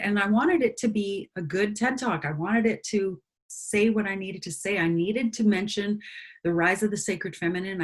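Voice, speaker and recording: A woman from Canada, a singer-songwriter and interview guest, speaking earnestly and with conviction. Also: lowish voice. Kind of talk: reflective conversation.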